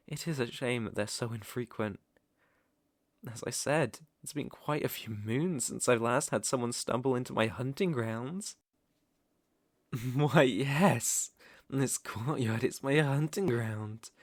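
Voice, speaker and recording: A man's voice: mid-range voice, dry recording, clean studio sound. A man with a British accent playing a centuries-old aristocratic vampire lord in an ASMR roleplay, suave, predatory and teasing, with formal, theatrical phrasing. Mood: wistful, menacing. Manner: silky, theatrical, teasing and formal with lingering pauses.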